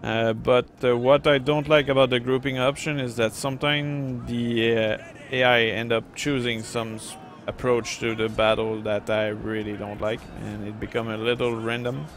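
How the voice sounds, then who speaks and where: A man's voice: medium pitch, level tone, moderate pace, few pauses, a bit bright, slight background noise. A middle-aged francophone man with a French Canadian accent, rambling casually with many fillers while commenting on a strategy game. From Quebec, Canada. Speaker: gameplay streamer.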